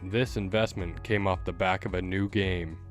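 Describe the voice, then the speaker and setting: Male documentary narrator, low register, scripted read over a clear music bed. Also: fairly even intonation, moderate pace.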